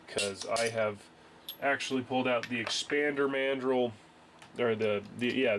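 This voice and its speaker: Young man, mid-pitch, congested from a cold; easygoing, rambling garage tutorial with lots of ums and restarts, faint noise behind. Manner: rambling, many fillers and restarts. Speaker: home reloading hobbyist. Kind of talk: casual workbench tutorial. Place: garage workbench, indoors.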